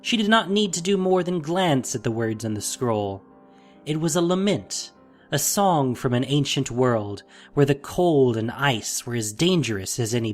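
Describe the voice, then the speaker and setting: A narrator reading scripted fantasy drama in an expressive, deliberate storytelling manner. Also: medium pitch. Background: faint music.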